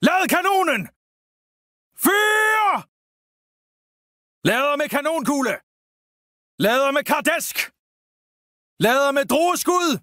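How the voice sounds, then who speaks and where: A man's voice: extremely high pitch, animated, pauses often, sharp tone, no room sound, studio quality, exaggerated voice. A man voicing an urgent soldier of the gunpowder age in a video game, barking battle callouts. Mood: alarmed, urgent. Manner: urgent, barked military callouts. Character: urgent Danish-Norwegian soldier of the gunpowder age, fighting cannibals.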